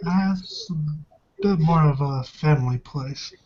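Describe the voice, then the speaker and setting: A roleplay player improvising casual in-character talk as a polite bar regular, speaking haltingly. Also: mid-range voice, darkish tone. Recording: muffled, noisy lo-fi recording.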